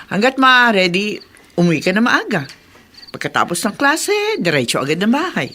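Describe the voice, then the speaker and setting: A woman's voice: medium pitch, very animated, moderate pace, sharp tone. A woman playing a caring, practical middle-aged aunt in a Filipino radio drama, motherly and coaxing. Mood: reassuring. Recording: noisy background with chirping insects.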